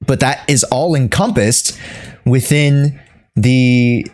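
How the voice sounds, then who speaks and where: A man talking off the cuff to his livestream chat, halting and repeating himself as he searches for words. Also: mid-range voice, darkish tone, faint music behind. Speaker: streamer. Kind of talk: unscripted livestream commentary to chat.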